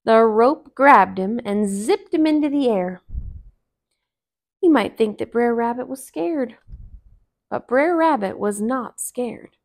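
A woman in her thirties reading a children's story aloud in an expressive, deliberate way. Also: fairly high pitch. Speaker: storybook reader. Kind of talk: children's read-aloud narration. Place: small living room, indoors on a couch.

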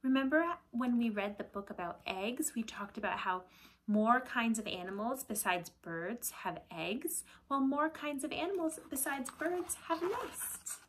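A woman in her thirties reading aloud to children like a teacher, deliberate and expressive, with a breathy voice that often drops to a hush. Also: a little high, a little hiss or hum. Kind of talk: children's picture-book read-aloud. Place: small indoor room at home with shelves.

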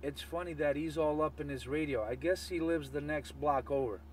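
A man's voice: fairly high pitch, brisk. A man chatting casually as a CB radio operator, heard through a radio transmission. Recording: distant, slightly noisy CB radio signal.

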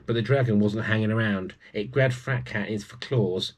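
A man's voice: fairly low pitch, moderate pace. A man in his forties reads a children's story aloud, adding chatty asides to his young listeners. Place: small room at home with bookshelves.

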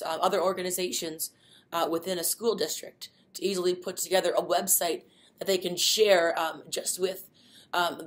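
Lower-voiced woman presenting a webinar. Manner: many fillers, unhurried explaining. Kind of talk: informal instructional webinar.

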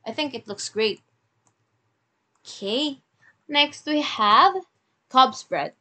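A woman's voice: sits high, takes it slowly, pushed and bright. Woman, casual web design reviewer, voice-over on a screen recording, friendly ad-libs. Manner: chatty, reads script then ad-libs with fillers. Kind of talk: screen-share website review.

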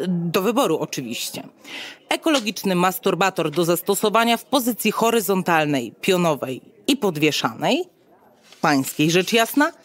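An actress playing a brisk, officious receptionist at a futuristic state clinic, speaking in formal, bureaucratic sales patter in an audio drama. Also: female voice, on the low side. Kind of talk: Polish audio drama acting.

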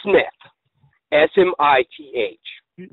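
A man playing an eccentric, muddled, easily excited prank caller who rambles and repeats himself in grandiose words over the phone. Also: a little high, slow, dry recording. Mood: muddled, hesitant. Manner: rambling, repetitive, theatrical, grandiose wording. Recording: tinny lo-fi phone call.

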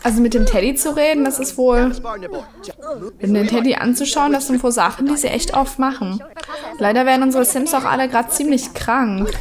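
A woman's voice: high-pitched, moderate pace, a crowd in the background. A woman with a cold chatting casually through her gameplay, rambling with many fillers at a desk microphone. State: has a cold. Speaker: let's play host.

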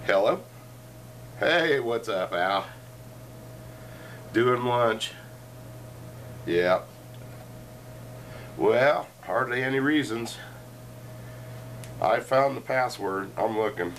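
A man's voice: medium pitch, expressive, extremely slow, pauses often, a bit bright. Middle-aged man rambling from across the room. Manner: joking, meandering, with frequent asides. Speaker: show host. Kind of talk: rambling casual chat. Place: cluttered living room, indoors. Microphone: fixed camera across the room. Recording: distant camera mic, faint room noise.

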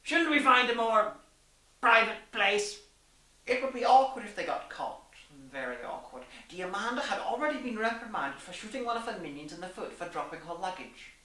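A woman's voice: medium pitch, expressive, moderate pace, sharp tone. A young woman reading a story aloud in a theatrical, arch, mock-genteel manner. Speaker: story reader. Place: small room indoors. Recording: echoey room, distant mic.

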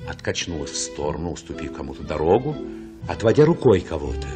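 A man playing the narrator of a radio drama, recalling childhood in an expressive, absorbed, poetic manner. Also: very deep voice, fast talker. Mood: hushed wonder. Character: male storyteller recalling his childhood with wonder. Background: music.

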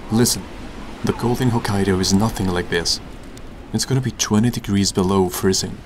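Man acting a low-voiced serious young devil hunter. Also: steady tone, normal speed, background noise, natural voice. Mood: earnest, instructive. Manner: serious, scolding, earnest. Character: stern, responsible young male devil hunter, exasperated leader. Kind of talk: fan comic dub, dramatic acting.

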